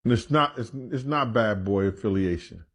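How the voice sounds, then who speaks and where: A man's voice: lowish voice, normal speed. A man talking in blunt, emphatic street slang, repeating himself and throwing out rhetorical questions.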